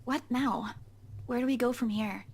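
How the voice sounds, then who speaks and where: A woman's voice: fairly high pitch, fairly even intonation, moderate pace, a little mellow, slightly rough. An actress playing a distressed, stubborn young woman pleading emotionally in a visual novel game. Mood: anxious. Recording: music behind the voice.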